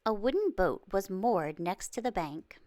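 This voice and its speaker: A woman narrating a children's storybook in an expressive, careful read-aloud delivery. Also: medium pitch, a little slow, no echo, studio quality. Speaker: children's book reader.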